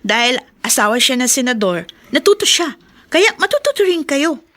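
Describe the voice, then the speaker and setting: High-voiced coaxing young daughter. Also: female voice, very animated, moderate pace, fairly bright tone. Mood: coaxing, insistent. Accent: Filipino. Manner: coaxing, insistent. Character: pushy, confident young daughter of a mayor. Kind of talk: Filipino radio drama acting.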